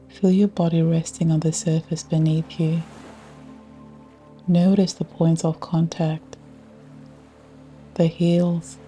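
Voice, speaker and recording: Woman, meditation guide, low-pitched, nearly flat intonation, soothing measured delivery, long pauses, soft ambient music and ocean sounds behind. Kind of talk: guided yoga nidra meditation, scripted.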